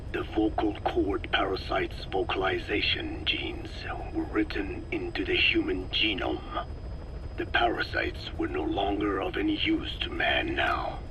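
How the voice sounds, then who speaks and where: An actor playing a very old, frail and solemn scientist in a video game, lecturing slowly in a weak, breathy whisper. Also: male voice, deep voice, background noise. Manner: slow, measured, solemn lecturing. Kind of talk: scripted video game monologue, scientific exposition.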